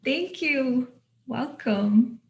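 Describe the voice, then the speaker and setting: A woman hosting an online panel, speaking off the cuff in a halting, reflective way. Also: high voice, lively intonation, vocal fry, highs cut off. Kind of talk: unscripted event introduction. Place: online video call.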